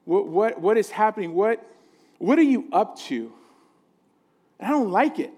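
High-voiced man preaching informally. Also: lively intonation, a little slow, darkish tone. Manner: conversational, jokey, asks the listeners for agreement. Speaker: pastor, guest preacher. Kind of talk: informal sermon to a congregation.

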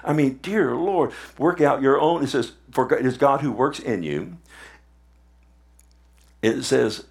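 A man preaching a sermon, animated, often asking the congregation "Amen?" and retelling scripture in his own words. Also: mid-range voice, measured pace, vocal fry, pauses often. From Texas.

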